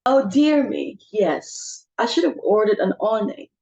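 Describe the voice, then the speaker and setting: A woman's voice: medium pitch, animated, a little slow, mellow, poor audio. A woman playing a bossy, social-climbing society mother with theatrical exclamations in an amateur stage comedy reading. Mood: fussy, commanding.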